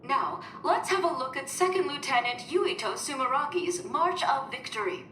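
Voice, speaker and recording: A woman's voice: high-pitched, lively intonation, moderate pace, slight background noise. A woman playing a grandiose propaganda news announcer in a video game, formal and proclaiming. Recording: echoey broadcast over loud music.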